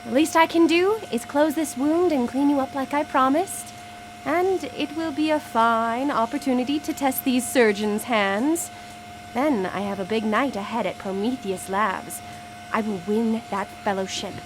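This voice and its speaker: Woman as confident artificial woman, fairly high voice, measured formal delivery, radio drama, faint noise. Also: close to an everyday voice. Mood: coolly satisfied. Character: artificial female body controlled by a scientist, poised and confident. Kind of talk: live radio drama acting.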